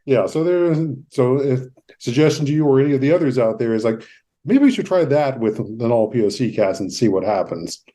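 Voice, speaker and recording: Mellow-voiced man hosting a podcast. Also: mid-range voice, expressive, brisk. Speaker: podcast host. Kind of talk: conversational interviewing.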